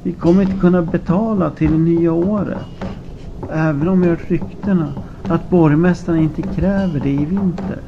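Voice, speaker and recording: Casting: male reader as defiant, weary peasant wife, raised pitch, emphatic pleading, audiobook story, noisy lo-fi recording. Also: fairly even intonation, creaky. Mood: weary, earnest.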